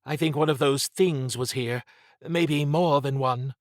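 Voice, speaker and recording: A wary, sardonic female agent, played by a male audiobook narrator. The voice is lifted well above his own and presses its points briskly, like a shrewd spy thinking aloud. The sound is close and dry. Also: mid-range voice, no room sound, pitched above the performer's own voice, close to an everyday voice. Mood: wary, insistent. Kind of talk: audiobook character dialogue.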